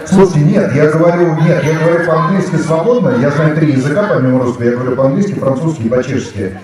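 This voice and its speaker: A man's voice: fairly high pitch, fast, a crowd in the background. Man in his fifties, rambling storyteller, roomy hall, distant mic. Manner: rambling, anecdotal, many fillers. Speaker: guest speaker, actor. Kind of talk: casual storytelling to a school audience. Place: large hall with students.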